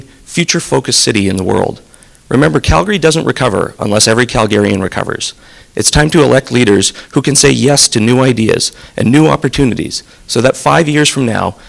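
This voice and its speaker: A man's voice: mid-range voice, creaky. A man running for mayor, delivering a polished, scripted campaign speech that moves through lists of points. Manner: polished, list-driven delivery. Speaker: mayoral candidate.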